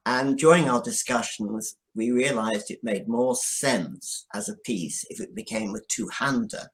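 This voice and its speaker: A man speaking as an interview guest, telling an account conversationally with hesitant, halting starts. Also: mid-range voice, fairly bright tone, rough recording. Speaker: guest, scriptwriter. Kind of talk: interview answer, conversational storytelling.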